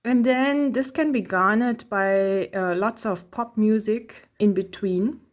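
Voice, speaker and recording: A woman with a medium-pitched voice lays out an idea in informal words. She sounds like an academic contributor thinking aloud. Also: slow.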